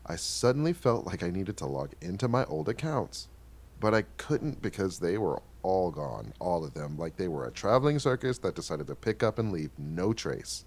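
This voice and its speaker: A man's voice: medium pitch, darkish tone. Man acting a wistful candid guy telling his life story in a stage drama, voice moves up and down a lot. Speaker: actor narrating a monologue. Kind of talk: reflective first-person dramatic monologue.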